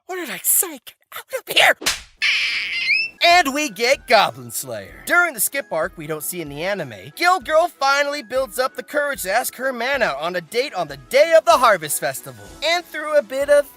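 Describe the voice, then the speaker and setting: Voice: sits high, wildly swooping pitch, normal speed, bright, forceful tone, soft background music. A host giving theatrical, comedic scripted narration about anime, with exaggerated mock outrage and playful asides. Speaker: host of anime video essay.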